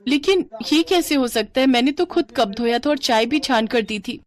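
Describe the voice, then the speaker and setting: A woman voicing a gentle, dutiful young daughter-in-law, polite and meek, in a story. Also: sits high, moderate pace, pitched above the performer's own voice, natural voice. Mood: meek protest.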